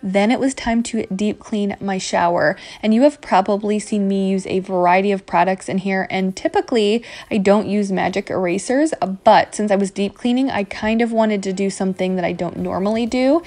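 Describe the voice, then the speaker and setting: A woman's voice: medium pitch, normal speed, few pauses, darkish tone. A woman in her thirties giving a casual voice-over as a home cleaning vlogger, talking directly to viewers. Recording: music playing behind voice. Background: background music.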